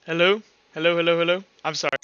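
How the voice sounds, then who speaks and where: Bright, higher-pitched man with a stammering delivery. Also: fairly even intonation, quick pace, clear, resonant tone, no room sound, muffled recording, faint music behind. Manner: stammers, repeating words as he goes. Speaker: game master running an online tabletop roleplaying session. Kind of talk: casual game chat, hosting. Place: online voice call.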